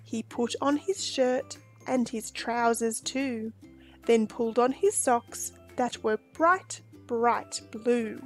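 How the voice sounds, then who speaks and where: High-voiced woman reading a picture book aloud. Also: faint music behind. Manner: expressive, deliberate storytelling. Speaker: storytime host and reader.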